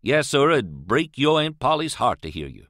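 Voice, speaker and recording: Earnest, devout older riverman, a man putting it on; high, lively drawl, dry booth sound. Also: a little slow, dry recording, full character voice. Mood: earnest, pleading. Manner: pleading, drawling, questioning. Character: older male Southern riverman, earnest and pious.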